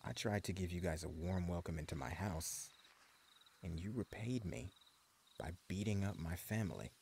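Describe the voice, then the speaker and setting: A man's voice: low-pitched, normal speed, gravelly, a little mellow, a little hiss or hum. A man voicing a menacing post-apocalypse gang leader in an audio roleplay, speaking in a taunting, mock-friendly drawl. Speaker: voice actor.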